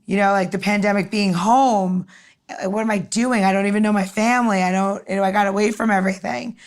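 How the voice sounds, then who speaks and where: A woman's voice: medium pitch, steady tone, a little fast. Chatty, rambling woman podcast co-host. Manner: rambling, informal, enthusiastic. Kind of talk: casual chat.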